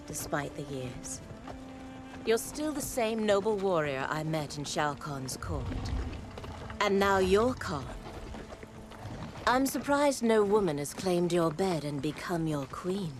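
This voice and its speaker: A woman's voice: on the low side, animated, slow pace, music in the background. An actress playing a confident, pragmatic warrior woman in a video game, with an assured, persuasive delivery. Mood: warm, teasing. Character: confident, pragmatic female warrior, loyal adviser. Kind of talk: video game cutscene acting.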